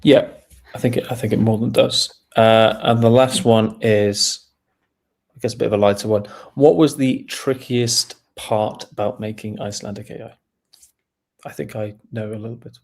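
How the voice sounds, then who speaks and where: A man with a low, gravelly voice leading a webinar Q&A. He reads out questions casually, slips in jokes and hesitates now and then. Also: moderate pace. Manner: relaxed, jokey, hesitant with fillers. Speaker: webinar host. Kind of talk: webinar Q&A moderating.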